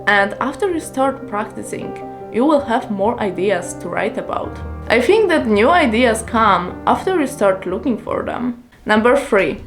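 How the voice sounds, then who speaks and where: Calm Polish-native woman giving language tips. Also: a little high. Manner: calm, earnest explaining with numbered tips. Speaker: language-learning YouTuber. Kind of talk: scripted tips vlog.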